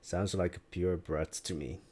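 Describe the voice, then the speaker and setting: A man's voice: low voice, fairly even intonation, unhurried, warm tone, slightly rough. A young man whose first language is Dutch, chatting casually on a live stream as he builds, musing aloud and answering viewers' questions.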